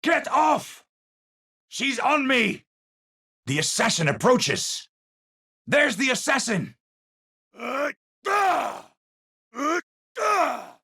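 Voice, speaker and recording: An actor playing a cerebral, haunted, middle-aged scientist in a video game, speaking formally and precisely with theatrical, pensive phrasing. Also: male voice, very high voice, big pitch swings, very slow, pauses often, pushed and bright, slightly rough, full character voice. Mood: alarmed. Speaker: video game hero character. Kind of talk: scripted game voice lines, theatrical.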